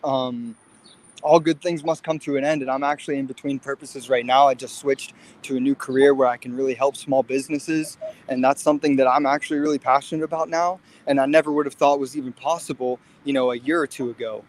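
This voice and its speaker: A man's voice: mid-range voice, fairly even intonation, fairly quick. A man in his late twenties talking casually and earnestly in a livestream conversation. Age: late 20s. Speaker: fitness guest.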